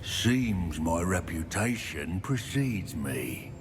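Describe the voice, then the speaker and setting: A man's voice: deep voice, very animated, slow, noisy background, exaggerated voice. A man voicing a smug, menacing goblin villain in a video game, speaking in a sardonic, threatening way. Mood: smug menace. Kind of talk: video game cutscene acting.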